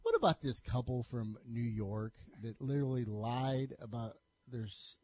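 A male sports radio host chats casually and tells stories. His voice sits in a middle pitch and has a warm, mellow tone. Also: lively intonation, normal speed, no echo. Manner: conversational, storytelling.

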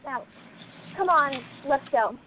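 A woman plays a young princess detective in a radio drama. She is earnest, determined and insistent, with a very high-pitched voice on thin, phone-like audio. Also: lively intonation, slow pace, a little hiss or hum. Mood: urgent, insistent. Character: determined young princess turned amateur sleuth. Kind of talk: radio play acting.

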